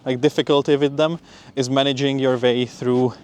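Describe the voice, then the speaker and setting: A man's voice: medium pitch, level tone, normal speed. A young man giving an informal, upbeat vlog update in a conversational way, as a student talking through his week. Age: young adult. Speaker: PhD student vlogger. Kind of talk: casual spoken vlog update.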